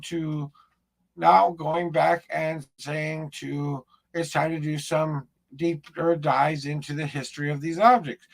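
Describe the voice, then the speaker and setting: A man with a gravelly voice, hosting his own paranormal show, talking casually to camera in a rambling, plainspoken way. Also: fairly high pitch. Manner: rambling, plainspoken, restarts sentences. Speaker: paranormal investigator host.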